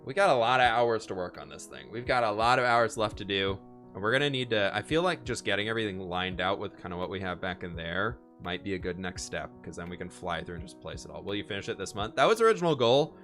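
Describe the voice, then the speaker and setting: Chatty streamer, a bit hoarse. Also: male voice, on the low side, lively intonation, fast talker, a bit bright, faint music behind. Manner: upbeat, chatty, reads and answers chat. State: a little hoarse. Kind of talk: casual live-stream chat while building.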